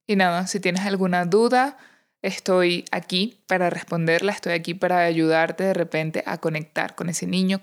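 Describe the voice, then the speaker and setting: A woman hosting a casual solo podcast, speaking informally and encouragingly straight to her listeners. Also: fairly low pitch. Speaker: podcast host.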